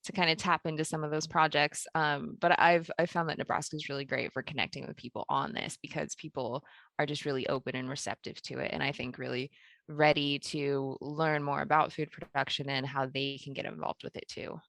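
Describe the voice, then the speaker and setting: A woman from Pennsylvania, a podcast guest, explaining her work in a measured way with soft laughs. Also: deep voice, little pitch movement, creaky, no echo. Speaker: podcast guest, food project founder. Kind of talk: interview conversation.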